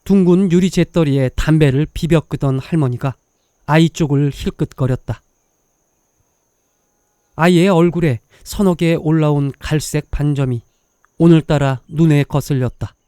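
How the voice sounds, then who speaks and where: A man narrating a scripted dramatic story in a measured, literary way, pausing between short phrases. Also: a little high, mellow, no echo, studio quality.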